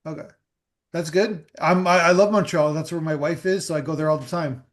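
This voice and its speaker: A man hosting a casual podcast interview, his voice a little higher than most men's. He rambles through his questions, restarting and doubling back on words as he goes. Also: steady tone, fairly quick. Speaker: podcast host.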